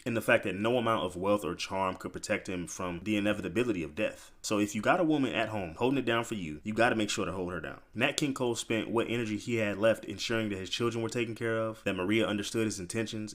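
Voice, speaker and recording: Male storyteller, lowish voice, informal and opinionated, for biographical commentary that talks straight to the viewer. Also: quick pace, few pauses. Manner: informal, opinionated, speaking directly to the listener. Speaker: narrator and commentator of a biographical video. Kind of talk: storytelling commentary, scripted narration with conversational asides.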